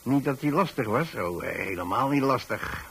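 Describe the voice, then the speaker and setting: A man's voice: mid-range voice, expressive, normal speed, gravelly, a bit bright, slightly rough, exaggerated voice. An actor playing a mild, rambling old widowed pensioner with a Dutch accent, hesitantly telling his story in a radio play. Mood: fond, reminiscing. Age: 60s or older.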